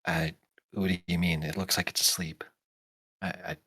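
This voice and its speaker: A hesitant adventurer in a fantasy role-playing game, acted out by the game master. The voice is low and husky. The delivery stammers and halts, awkward and easily flustered. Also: big pitch swings, bright, forceful tone, heavily acted. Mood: puzzled, hesitant. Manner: halting, stammering. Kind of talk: in-character tabletop role-play.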